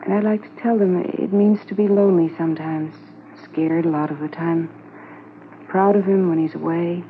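A woman's voice: fairly low pitch, steady tone, normal speed, natural voice. A woman playing a wistful, anxious but affectionate policeman's wife in a reflective, conversational 1950s radio drama style. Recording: muffled noisy 1950s radio transcription.

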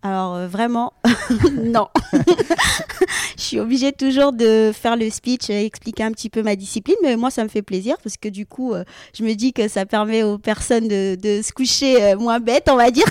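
A young woman from French Guiana with a fairly high, smooth and resonant voice. She answers interview questions in an unhurried, hesitant way, full of small fillers, and laughs easily. The recording is dry and close. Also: darkish tone, dry recording. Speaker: guest athlete.

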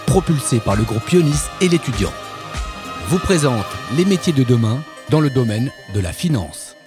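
A man's voice: mid-range voice, very animated, normal speed. A man reading a scripted podcast introduction as an announcer. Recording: voice over clear music bed. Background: music.

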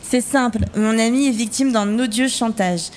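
An actress as a cheeky, high-voiced woman schemer. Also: moderate pace, darkish tone, slight background noise, natural voice. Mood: mock-posh. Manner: playful, theatrical, slangy. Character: cheeky, upbeat woman activist friend full of schemes. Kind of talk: French audio fiction acting.

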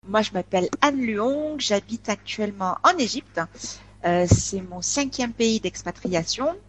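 A woman's voice: mid-range voice, expressive, a little slow. Woman, 40, from France; hesitant, casual guest chatter. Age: 40s. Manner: hesitant, with many filler sounds and restarts. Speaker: podcast guest. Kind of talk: casual interview chat.

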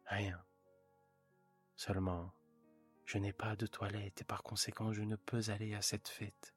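A man's voice: on the low side, flat delivery, measured pace, breathy edge, soft background music. A man voicing a discontented, bitter young wife who speaks in a plaintive, resentful way.

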